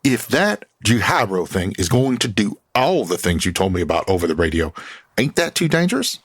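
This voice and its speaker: A man's voice: fairly low pitch, very animated, moderate pace, slightly rough, dry recording, clean studio sound, exaggerated voice. A man voicing a folksy hillbilly sidekick.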